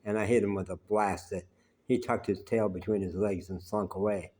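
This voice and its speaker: A man telling personal stories in an unhurried, conversational way, pausing often. He has a naturally loud voice. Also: lowish voice, fairly even intonation. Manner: unhurried and conversational, with frequent "uh" pauses. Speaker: vlogger telling life stories. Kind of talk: rambling personal storytelling.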